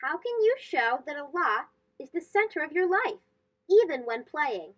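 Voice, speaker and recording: A woman reading a children's storybook aloud as host, expressive and phrased for young listeners. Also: sits high, moderate pace, muffled recording.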